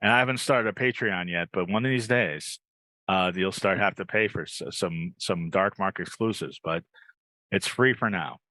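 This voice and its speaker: A man's voice: deep voice, moderate pace, bright, forceful tone, dry recording. A man hosting a casual podcast on a video call, rambling a little and repeating his phrases as he chats and reads plugs. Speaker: podcast host.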